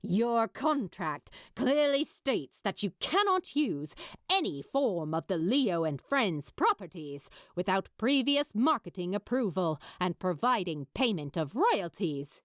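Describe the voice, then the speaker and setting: A woman's voice: medium pitch, no room sound, studio quality. Woman voicing a malicious, officious corporate villain.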